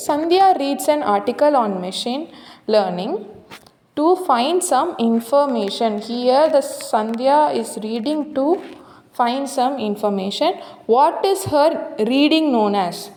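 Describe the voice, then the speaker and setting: A woman with a distinctly high voice and a South Indian accent gives a lesson. She reads out exam questions and options, then explains each answer step by step in an instructional manner. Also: mellow. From Tamil Nadu, India. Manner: explanatory, checks with "right?" and "okay". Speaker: teacher.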